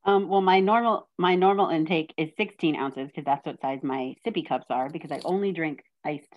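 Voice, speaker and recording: A woman's voice: deep voice, normal speed, highs cut off. A woman from Texas chatting as a podcast guest, joking and poking fun at herself. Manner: joking, self-deprecating storyteller. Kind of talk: casual chat.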